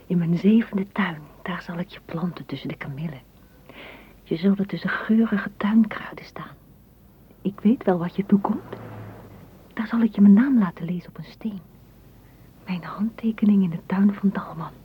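An actress in a radio play playing a dreamy, tender woman gardener, speaking softly and poetically, often in a whisper. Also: on the low side, moderate pace, long pauses, lightly acted. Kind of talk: radio drama acting. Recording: muffled, noisy old radio recording.

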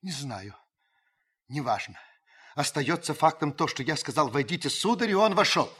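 An actor playing a grave, watchful older householder who narrates a radio drama, husky and breathy, with solemn dramatic pauses. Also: male voice, a little high, big pitch swings, sharp tone, full character voice. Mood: agitated, questioning. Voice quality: husky, breathy, sinking to whispers. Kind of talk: dramatic literary narration, old radio play.

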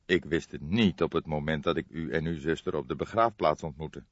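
A man reading an audiobook, voicing a calm, formal police detective with deliberate, composed delivery. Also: extremely low pitch, no echo, lo-fi sound. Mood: calm, apologetic. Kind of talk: audiobook character voice.

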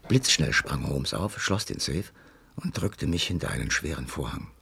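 Anxious loyal sidekick guy telling a detective radio play, super low creaky voice. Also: expressive, moderate pace, heavily acted. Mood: tense narrating. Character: Holmes's anxious loyal companion, narrating their nighttime burglary. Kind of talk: radio drama narration and dialogue.

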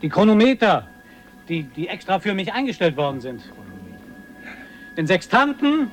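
A man acting a proud, earnest and excitable polar explorer in a radio drama, thinking aloud expressively as if interviewed. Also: high voice, normal speed, soft background music. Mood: earnest, animated. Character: excitable male polar adventurer, proud, earnest, devoted to his dog.

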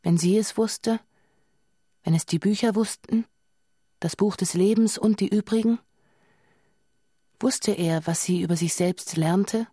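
A woman's voice: mid-range voice, a little slow, long pauses, dark tone, slightly rough, no room sound, clean studio sound. A woman narrating a radio drama as a composed storyteller, reading scripted literary prose. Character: composed female storyteller of a science-fiction saga.

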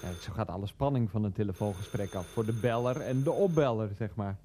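An actor playing a hapless, earnest middle-aged husband and son in a radio play, hesitant, explanatory and easily defensive. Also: male voice, fairly low pitch, a little fast, warm tone, slight background noise, natural voice. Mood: chatty. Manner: hesitant, explanatory, petulant with his mother. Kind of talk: radio play acting, monologue and phone dialogue.

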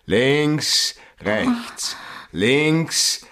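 An actor playing a hard-boiled, commanding private detective in a German radio crime drama, speaking in clipped, wry phrases. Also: male voice, a little high, huge pitch swings, extremely slow, sharp tone, full character voice. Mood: urging, commanding. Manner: clipped short commands and questions.

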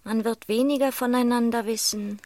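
Loud music accompanies a fairly high female voice. An actress plays a pointed chorus narrator in a radio drama, delivering clipped, stylised comments on a love affair. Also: fairly even intonation, normal speed, close to an everyday voice. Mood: hushed. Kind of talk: stylised radio play narration in short phrases.

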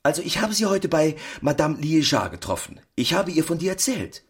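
An actor playing a trusting, puzzled husband in a German radio play, with a husky voice. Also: male voice, on the high side, very animated, normal speed, exaggerated voice. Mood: puzzled, probing.

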